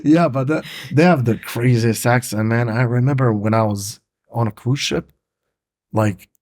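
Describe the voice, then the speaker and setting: A young man from Montenegro hosts a casual podcast, his voice sitting at an ordinary pitch and recorded close and dry. He rambles through fillers and swears casually, and his native language is Montenegrin. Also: moderate pace, darkish tone, dry recording. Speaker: podcast host. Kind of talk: casual conversation.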